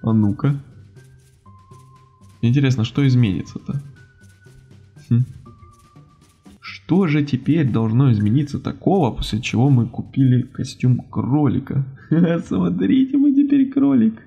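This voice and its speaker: Gravelly, mellow man commenting on a game. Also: medium pitch, measured pace, pauses often, highs cut off, soft background music. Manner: animated reactions, gasps and mutters to himself. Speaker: gaming channel host. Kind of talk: casual video game let's-play commentary.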